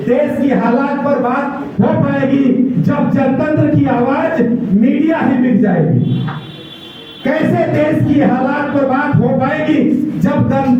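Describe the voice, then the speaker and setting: A man's voice: very high-pitched, a little hiss or hum. A man giving an impassioned public speech, with rhetorical questions and emphatic repetition. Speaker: speech-giver at an Independence Day event. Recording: echoey far-off mic, dull sound.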